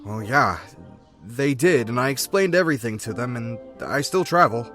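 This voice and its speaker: Man voicing a young rat drifter, laid-back, friendly, lively intonation. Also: mid-range voice, normal speed, soft background music, natural voice. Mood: persuasive. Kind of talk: fan comic dub.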